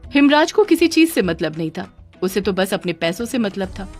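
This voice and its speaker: A woman's voice: medium pitch, sing-song, moderate pace, soft background music. A woman narrating a scripted children's story as a storyteller.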